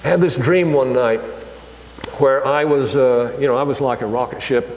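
An older man delivers a sermon in an easygoing, halting way, stopping often to restart his sentences. His voice rings through a large, echoey hall with a long tail of reverb. A faint hum sits underneath. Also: mid-range voice. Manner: conversational, halting, many fillers and restarts. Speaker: preacher. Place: church hall.

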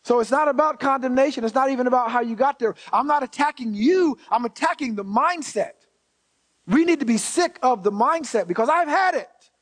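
A man with a strikingly high voice delivers a sermon. He is emphatic and returns to key phrases for weight. In between, he talks to his listeners directly, with conversational asides and rhetorical questions. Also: lively intonation, a little fast, a bit bright. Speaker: preacher. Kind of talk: sermon to a congregation.

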